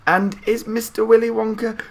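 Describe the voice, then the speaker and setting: A man's voice: high-pitched, flat delivery, normal speed, vocal fry, voice pushed up. A man voicing a curious, polite little boy in a children's story, earnest and questioning.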